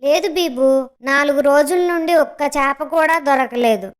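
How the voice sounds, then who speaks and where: A woman's voice: sits high, moderate pace, close to an everyday voice. A woman voicing a small, childlike, cautious penguin in a children's cartoon, speaking in a worried, earnest way. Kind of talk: children's animated story acting.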